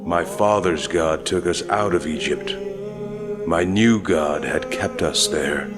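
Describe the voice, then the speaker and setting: A man's voice: low-pitched, slow pace. A man playing a guilt-ridden, grim vigilante and ex-mercenary in a dramatic comic dub, brooding and terse. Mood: bitter regret. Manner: brooding, confessional, terse under pressure. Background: background music.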